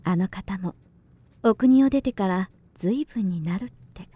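A woman's voice: mid-range voice, measured pace, warm tone, slightly rough, no room sound. A woman playing a friendly, girlish young hotel keeper's daughter in a radio drama, teasing and lovestruck.

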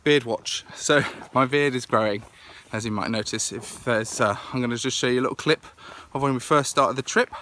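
Croaky British dad, 40s, bright voice, chatty selfie vlog outdoors. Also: male voice, mid-range voice, brisk, slight background noise. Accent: British English. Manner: chatty, thinking aloud with many fillers. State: croaky with a cold. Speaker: family vlogger. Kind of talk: casual vlog to camera. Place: outdoors in a large garden and meadow. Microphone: phone camera held at arm's length.

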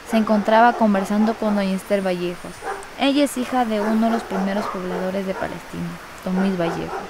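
A woman's voice: a little high, background noise. A woman, narrating a scripted first-person documentary radio story.

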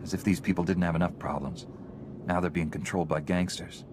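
Deep, brisk noble young knight male actor. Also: steady tone, close to an everyday voice. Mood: earnest. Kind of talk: video game cutscene acting. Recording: noisy ambience behind voice.

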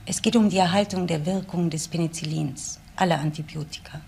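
An actress playing a loyal, earnest woman with a measured, firm delivery in a crime radio play. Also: fairly low pitch, gravelly, darkish tone, slight background noise. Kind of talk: radio crime drama acting.

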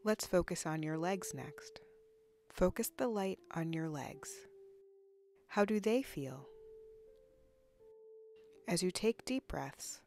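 Slow, low-pitched woman guiding relaxation. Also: animated, gravelly, music in the background. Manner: soothing, unhurried, instructional, with long pauses. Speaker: guided relaxation narrator, counselor. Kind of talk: guided body scan meditation.

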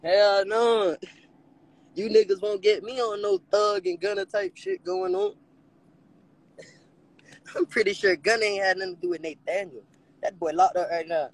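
A young man streams from inside a car, the phone held out at arm's length. He talks casually and animatedly, his fairly high voice dipping into low mutters before leaping up again. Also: very animated, a little slow, creaky, pauses often, low-quality recording. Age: young adult. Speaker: rapper hosting a livestream. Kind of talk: casual livestream chat.

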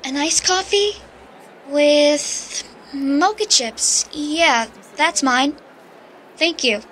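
A woman playing a shy, very high young woman. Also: extremely slow, a bit bright, slight background noise, exaggerated voice. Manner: hesitant, unsure.

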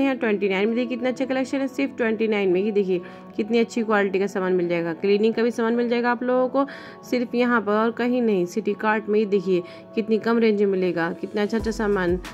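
A woman shopping vlogger walking through store aisles with her phone, pointing out products and prices in brisk, upbeat, salesy patter. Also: fairly high pitch, darkish tone, muffled recording. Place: indoor retail store aisles.

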